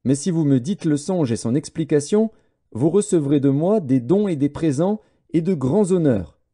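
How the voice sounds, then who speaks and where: A man's voice: fairly high pitch, animated, normal speed, warm tone, breathy edge. A man reading the words of a troubled, suspicious king who issues commanding threats, in a dramatised scripture reading. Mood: stern, threatening.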